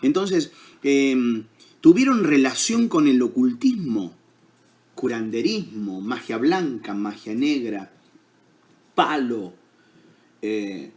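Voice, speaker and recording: A man with an Argentine accent preaching a sermon to his congregation at a slow, deliberate pace. His pitch swings widely as he poses question after question. He fills his pauses with little hums and checks in with tag questions. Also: sing-song, darkish tone. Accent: Argentine Spanish. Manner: unhurried preaching with many fillers and tag questions. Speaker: pastor.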